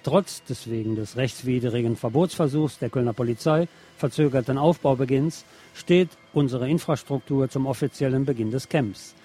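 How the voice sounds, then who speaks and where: A man's voice: medium pitch, normal speed, hardly any pauses, mellow, slightly rough. A man reading scripted political news and commentary as a radio presenter.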